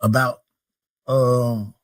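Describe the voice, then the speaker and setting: A man interviewing, asking probing questions in a halting, repetitive casual way. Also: mid-range voice, level tone. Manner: probing, halting, repeats himself.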